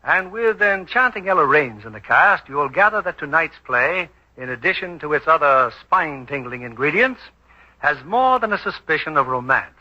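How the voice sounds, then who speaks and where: A man's voice: fairly high pitch, expressive, normal speed, pushed and bright. A man hosting and narrating a 1940s radio drama in a scripted announcer's style. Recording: dull 1940s radio recording.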